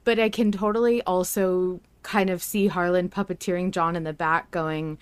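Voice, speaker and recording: Smooth-voiced woman hosting a fan podcast. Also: lowish voice, steady tone, no echo. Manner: chatty, reflective, quick to laugh. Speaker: podcast host. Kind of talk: casual fan podcast chat.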